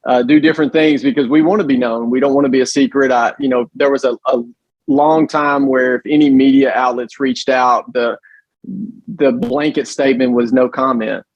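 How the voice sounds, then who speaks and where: A man with a loud voice and a Southern US accent, talking emphatically in an interview with many restarts. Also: medium pitch, fairly even intonation, normal speed, no echo, dull audio. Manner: emphatic, frequent restarts and fillers. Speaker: guest, pregnancy center director. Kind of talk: conversational interview.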